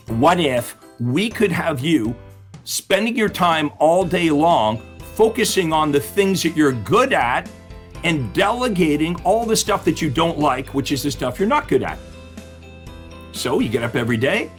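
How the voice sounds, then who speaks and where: A middle-aged man coaching real estate agents in an upbeat way, often asking the audience questions, while his voice is giving out. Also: a little high. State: losing his voice. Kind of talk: webinar-style coaching talk.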